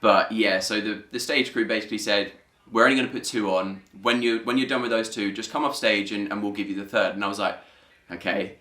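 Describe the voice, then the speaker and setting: A man's voice: on the low side, brisk, pushed and bright. A British man chatting casually and jokily as he comments on a stage show he performed in. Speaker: amateur pantomime cast member. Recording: roomy echo.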